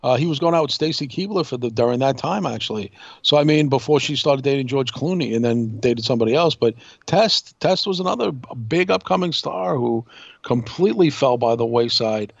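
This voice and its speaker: A man's voice: mid-range voice, expressive, fairly quick, gravelly, dry recording, muffled recording. A man co-hosting a podcast in casual talk, speaking emphatically and repeating himself for effect. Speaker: podcast co-host.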